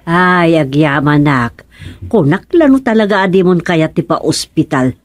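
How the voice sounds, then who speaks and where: An actor playing a fussy, bossy companion in a Filipino radio comedy drama, speaking with a Filipino accent. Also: male voice, on the high side, expressive, measured pace. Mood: practical, fussing.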